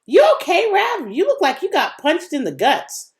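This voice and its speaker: A woman puts on a young girl from a children's storybook, pitched high. She is curious and outspoken, with a lilting, questioning way of talking. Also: wildly swooping pitch, normal speed. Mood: concerned.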